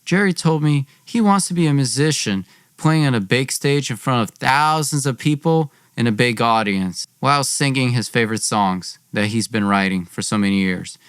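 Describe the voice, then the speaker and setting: A man's voice: medium pitch, clean tone, dry recording. Young man telling a story, dry recording. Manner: informal, wry, acts out dialogue. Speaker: podcast host. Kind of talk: dramatic personal storytelling.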